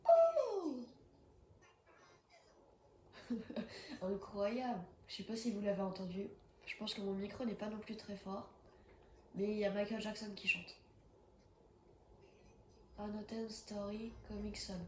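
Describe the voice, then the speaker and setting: A woman's voice: mid-range voice, big pitch swings, slow pace, pauses often, reverberant, noisy background. A young woman with a cold, chatting casually and reacting with jumpy exclamations as she plays a horror game. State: has a cold. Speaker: gaming streamer. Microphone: phone.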